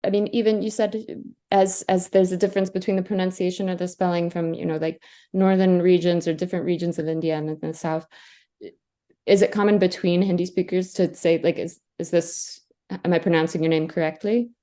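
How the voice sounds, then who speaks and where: A woman's voice: fairly low pitch, steady tone, brisk, warm tone. A woman moderating a live online workshop over a video call, politely asking the presenter questions.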